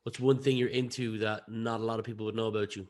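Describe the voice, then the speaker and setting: A man's voice: fairly low pitch, little pitch movement, fast, a little mellow. An Irish man hosting a podcast, putting questions to a guest in a conversational interview style. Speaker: podcast host.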